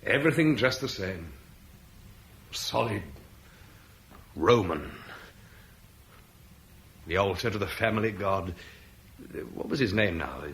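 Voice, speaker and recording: An actor playing a weary middle-aged Roman tribune in a classic radio drama, measured, dry and soldierly. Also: male voice, lowish voice, animated, a bit bright, a little hiss or hum, heavily acted. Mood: musing. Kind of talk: 1960s BBC radio drama acting.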